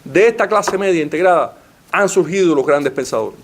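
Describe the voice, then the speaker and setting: A man with a slightly raised pitch delivers a formal lecture. He asks rhetorical questions and stacks up lists to press his argument. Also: expressive, normal speed, a little hiss or hum. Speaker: panelist giving a talk. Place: library meeting room.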